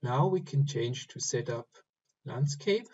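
A man giving step-by-step instructions for a software screen recording, speaking in short, halting phrases. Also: mid-range voice, expressive, husky, dull audio. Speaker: software tutorial presenter. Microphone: voice-over recorded over screen capture.

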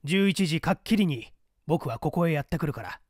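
A stern male underground agent acted out by a male reader issues formal, clipped orders in an audiobook drama. His voice is fairly high and moves widely in pitch, with an imperious, authoritarian edge. The sound is close and dry. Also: animated, normal speed, no echo. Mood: formal, commanding. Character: stern, commanding male secret-party courier in a mask.

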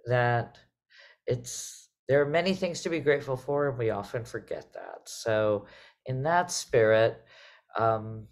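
A middle-aged woman hosting a casual online conversation, speaking reflectively and haltingly over a video call. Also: very deep voice. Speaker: host, artistic director.

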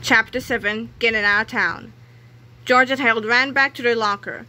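A woman's voice: fairly high pitch, steady tone, normal speed, sharp tone. A woman reading a children's book aloud expressively, acting out dialogue and sound effects. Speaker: book reader. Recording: clearly noisy home recording.

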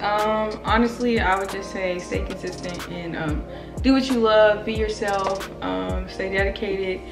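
A woman's voice: mid-range voice, echoey room, recorded from a distance. A young woman in her twenties answering fan questions in a casual vlog, chatty and blunt, playful, with slang and swearing. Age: 20s. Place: indoor room near stairs. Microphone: camera mic, filmed from below.

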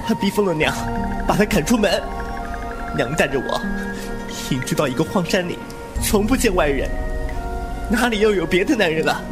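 Cheeky high-pitched young rogue played by a man. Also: expressive. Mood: indignant. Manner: cheeky, quick to mock. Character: glib, sharp-tongued, teasing young martial-arts rogue. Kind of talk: wuxia audio drama acting. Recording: music under the voice.

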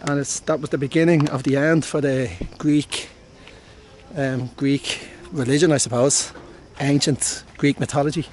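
A man's voice: mid-range voice, steady tone, a little slow, a little mellow, a little hiss or hum. A man in his forties with an Irish accent, chatting casually to a handheld camera while touring a hilltop site outdoors. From Ireland. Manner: chatty, friendly, thinking aloud. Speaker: travel vlogger. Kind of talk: casual travel vlog. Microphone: handheld camera on a gimbal. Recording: music playing in background.

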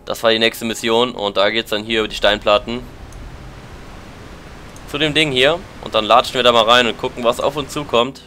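A man's voice: mid-range voice, steady tone, moderate pace, bright, forceful tone, a little hiss or hum. A German man with a lingering cold, chatting casually as he plays and comments on a game, thinking aloud. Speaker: let's play commentator.

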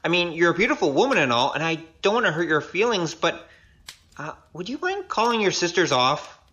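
A woman plays a young male rogue in an audio drama. The voice is bright and very animated, a glib gambler talking his way out with wry, hesitant patter. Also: lowish voice, brisk, highs cut off. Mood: awkward, apologetic.

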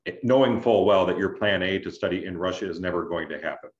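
A man explaining things as an adviser in an online information session, in a measured, conversational way with check-in questions. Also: low voice. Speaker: scholarship adviser, presenter. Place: indoors on a video call. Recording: roomy, dull video-call audio.

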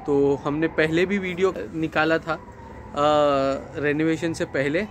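A man in his thirties giving a casual walkthrough of an apartment, talking slowly and hesitantly with many fillers, filmed on a handheld phone. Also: on the high side, fairly even intonation, a little hiss or hum. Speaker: property tour guide. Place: terrace and empty apartment rooms outdoors and indoors. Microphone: phone camera held at arm's length.

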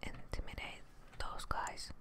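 Young woman whispering asmr gameplay, super hushed and breathy, kinda muttering to herself, right up on the mic. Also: unhurried. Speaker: gaming streamer. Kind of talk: ASMR whispered game commentary.

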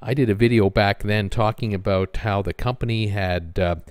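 A man with a North American accent, hosting an informal news commentary video in a halting, hesitant way with frequent pauses. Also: lowish voice, darkish tone, smooth, no echo, studio quality. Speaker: host of a cruise news channel.

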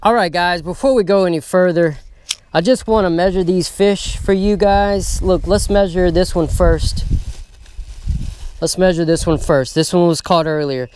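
A man hosting a fishing vlog outdoors, talking to viewers in an excited, casual way as he fishes. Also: high voice, normal speed. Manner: excited, talks straight to viewers. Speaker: fishing channel host. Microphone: handheld camera mic. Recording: outdoor camera audio, clear background noise.

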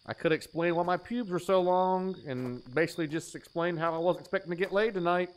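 A man's voice: high-pitched, a little fast, poor audio. A man in his thirties giving crude, playful, exaggerated joking commentary as he streams a horror game.

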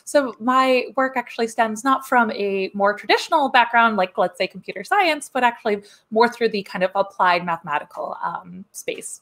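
A woman in her thirties with a fairly high voice gives casual interview answers as a guest speaker. She is indoors on a video call. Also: moderate pace, hardly any pauses, a bit bright. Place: room indoors.

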